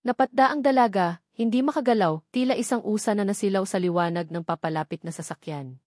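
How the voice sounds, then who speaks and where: A woman reading a dramatic story in measured, scripted narration. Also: mid-range voice, a little mellow, no echo, clean studio sound.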